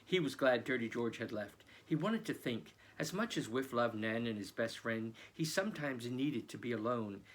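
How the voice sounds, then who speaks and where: A male children's book author reading his own novel aloud in an expressive storytelling manner. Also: mid-range voice, moderate pace, hardly any pauses, low-quality recording.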